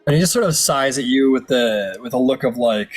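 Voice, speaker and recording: A man's voice: medium pitch, brisk. A man improvising narration as the game master of a tabletop role-playing game. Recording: music playing under the voice. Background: background music.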